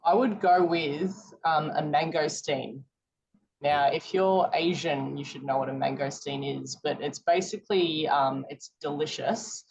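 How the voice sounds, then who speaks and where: A woman co-hosting a podcast, speaking in an unhurried, thoughtful way with frequent "um"s. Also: low-pitched, darkish tone. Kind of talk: casual chat. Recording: dull lo-fi audio.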